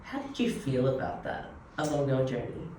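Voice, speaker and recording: Low-voiced Australian woman, echoey room. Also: wildly swooping pitch, moderate pace, dark tone. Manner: bubbly and joking, laughs easily. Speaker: podcast host. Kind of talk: casual conversational interview. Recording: echoey room, mic at a distance, faint noise.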